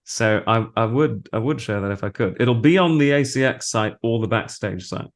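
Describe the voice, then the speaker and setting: A man chatting casually as an interview guest in a halting, thoughtful way. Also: medium pitch. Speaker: interview guest, voice actor and audiobook narrator.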